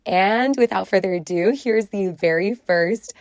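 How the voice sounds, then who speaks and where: A woman's voice: mid-range voice, measured pace, dry recording, clean studio sound. A young woman in her twenties opening her podcast episode, speaking to the listener in a friendly, upbeat way. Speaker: podcast host. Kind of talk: podcast intro. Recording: soft music underneath. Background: mandolin music.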